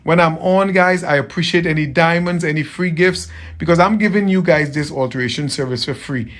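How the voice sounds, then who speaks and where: A man from Trinidad with a softened Trinidadian accent, chatting casually with viewers on a livestream as a tailor, friendly and often repeating himself. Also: a little high, a little fast. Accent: Trinidadian, softened toward American. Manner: friendly, repeats phrases, greets viewers by name.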